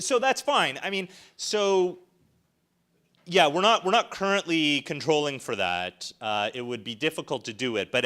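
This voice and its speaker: Male panelist with a bright, mid-pitched voice; thinks aloud, restarts often. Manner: hesitant, thinks aloud with many fillers and restarts. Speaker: panel presenter, researcher. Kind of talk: answering audience questions at a panel.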